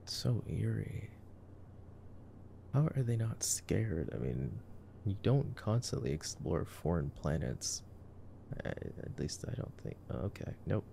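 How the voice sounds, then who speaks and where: A man playing a nerdy, affectionate boyfriend in an ASMR sleep-aid roleplay, soft-spoken, teasing and rambling as he comments on a movie. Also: on the low side, very animated, a little slow, gravelly, a little hiss or hum, heavily acted. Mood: wry, amused.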